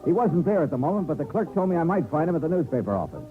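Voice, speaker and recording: An easygoing American private eye of fifties radio, dry and wry, with lively pitch swings. The old recording is tinny, with music behind. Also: male voice, racing. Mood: wry, matter-of-fact. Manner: wry, dry first-person narration. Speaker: narrator and lead actor. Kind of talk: 1950s radio detective acting.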